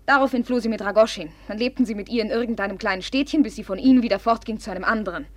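An actress playing a proud, impulsive, jealous young woman of good family in a classic German radio play, her manner volatile and demanding, her voice breathy. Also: fairly high pitch, rapid-fire, dry recording, close to an everyday voice. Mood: jealous, accusing. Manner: imperious, volatile, swinging from confiding to accusing.

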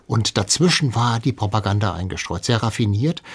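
A man's voice: lowish voice, expressive, a little fast, breathy edge. A man speaking as an expert guest, explaining history in an interview.

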